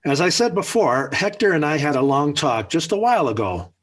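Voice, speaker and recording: A man's voice: a little high, very animated, brisk, fairly bright tone. An American actor playing a genial, talkative middle-aged suburban father in a 1940s radio sitcom, hemming a bit and then turning firm. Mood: firm, presiding. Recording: slightly rough 1940s radio recording.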